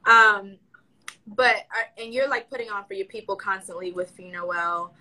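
Bright-voiced chatty woman interviewer. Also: mid-range voice, poor audio. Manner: chatty, affirming, quick to agree. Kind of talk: casual interview conversation.

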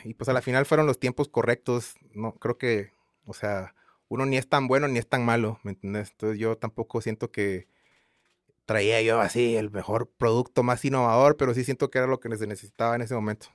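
A man in his thirties from Guatemala with a Guatemalan Spanish accent, chatting as an interview guest in a relaxed, rambling way full of fillers. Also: lowish voice, no room sound, clean studio sound. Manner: relaxed rambling with many fillers and repeated tags. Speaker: guest singer. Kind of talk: casual interview chat.

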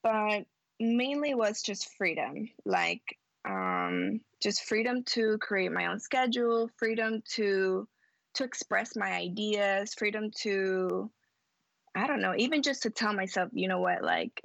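A woman's voice: medium pitch, gravelly, a bit bright, no room sound. A woman entrepreneur as a podcast guest, earnest and upbeat, talking casually and saying "like" a lot. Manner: earnest, upbeat, heavy use of "like". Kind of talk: casual interview talk.

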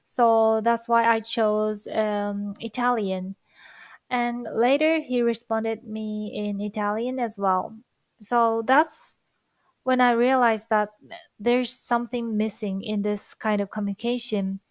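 A woman's voice: fairly high pitch, steady tone, no room sound. A Japanese-accented woman whose first language is Japanese, sharing reflective thoughts with viewers in a careful, thoughtful way. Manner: slow, careful, thoughtful delivery with soft fillers. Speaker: language-learning vlogger.

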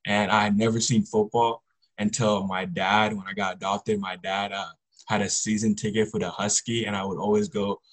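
A teenage male athlete answers interview questions casually. His voice is fairly low and very flat in melody, with little rise or fall as he talks. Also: normal speed, rough recording. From Congo, later the US Pacific Northwest. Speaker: high school football player, podcast guest.

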